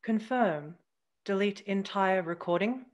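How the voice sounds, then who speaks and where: A woman's voice: mid-range voice, unhurried, close to an everyday voice. Sci-fi ship computer played by a woman: impassive, clipped female voice with a slightly muffled, lo-fi sound. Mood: impassive, procedural. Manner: clipped, neutral, procedural. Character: impassive female starship computer confirming commands.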